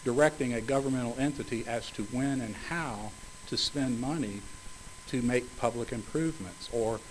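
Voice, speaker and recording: Male attorney at oral argument, mid-pitched, formal and measured, a touch hoarse. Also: a little hiss or hum, rough recording. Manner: measured, formal legal phrasing with occasional restarts. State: slightly hoarse, coughing. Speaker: attorney arguing for a city.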